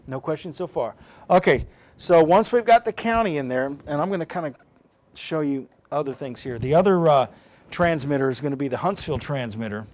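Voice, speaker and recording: A man presenting an informal, unscripted step-by-step tutorial, explaining plainly in a conversational way as he demonstrates. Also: on the high side. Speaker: presenter of a live how-to demonstration.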